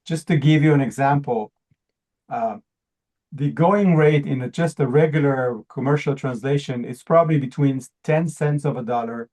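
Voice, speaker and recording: A man whose first language is Hebrew pitches a project to an audience in an earnest way. His voice sits in a middle pitch with a mellow, darker timbre. He often repeats a word or restarts a phrase mid-sentence. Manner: earnest, repeats words while searching for phrasing. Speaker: presenter.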